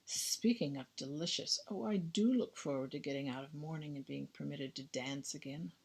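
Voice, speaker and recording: A woman's voice: deep voice, moderate pace, creaky, husky, heavily acted. A woman voicing a playful, effusive Victorian society lady in audiobook dialogue, with an arch, teasing manner.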